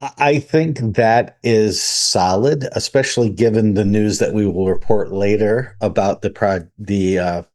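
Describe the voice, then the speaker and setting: A man with a fairly low voice co-hosting a podcast. He talks in a measured, step-by-step way, unhurried and conversational. He leans on homespun analogies to make his points. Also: darkish tone. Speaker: podcast co-host. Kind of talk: casual analytical chat.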